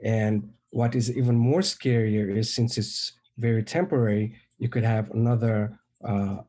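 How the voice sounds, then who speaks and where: Mellow-voiced man in his forties. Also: lowish voice, steady tone. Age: 40s. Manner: measured, earnest, with hesitations. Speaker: interviewee. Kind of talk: recorded interview testimony.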